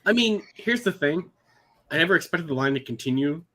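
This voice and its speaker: A man's voice: on the high side, lively intonation, a little fast, fairly bright tone. A congested man co-hosting a casual podcast, rambling with fillers and chuckling often. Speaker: podcast co-host. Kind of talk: casual chat. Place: indoors near a highway.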